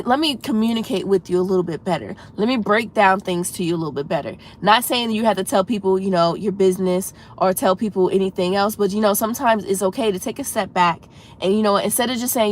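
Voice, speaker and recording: Female livestream host, congested and slightly froggy, earnest and encouraging, low rumble in the background. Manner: earnest, encouraging, talks straight to chat with many "you know"s. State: congested with a head cold. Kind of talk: casual livestream chat.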